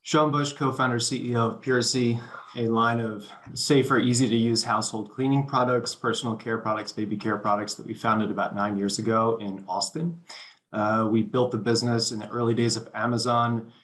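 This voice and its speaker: A man's voice: medium pitch, little pitch movement, fairly quick, fairly bright tone, poor audio. A man introducing himself on a judging panel in a matter-of-fact, businesslike way. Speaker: judge on a pitch panel. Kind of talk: casual self-introduction.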